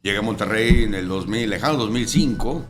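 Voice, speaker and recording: A man's voice: on the low side, brisk. A man in his forties from Italy with an Italian accent, a chef and restaurant owner talking casually in an interview, rambling with lots of fillers and tag questions. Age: 40s. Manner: rambling, full of fillers and "¿verdad?". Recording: noisy room ambience.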